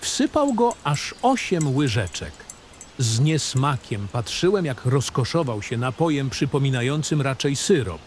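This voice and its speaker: A male narrator tells a fantasy story in a literary, first-person style. His mid-range voice moves through a lively, expressive intonation. Soft nature ambience plays faintly behind the reading. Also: medium pitch, measured pace, a little hiss or hum. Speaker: narrator of a fantasy audio drama.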